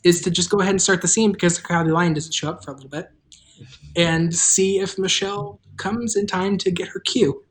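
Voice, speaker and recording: A livestream host and audio drama creator chatting casually with the cast and viewers in a wry, deadpan way. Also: medium pitch, fairly quick, slight background noise.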